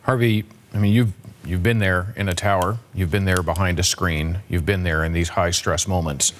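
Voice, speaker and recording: Low-voiced male news anchor. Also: fairly even intonation, normal speed, a little hiss or hum. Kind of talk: broadcast news interview.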